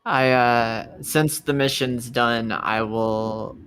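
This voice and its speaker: A man playing a tabletop roleplay, chatting casually and describing his character's actions in halting phrases. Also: medium pitch, fairly even intonation, fairly bright tone, a little hiss or hum.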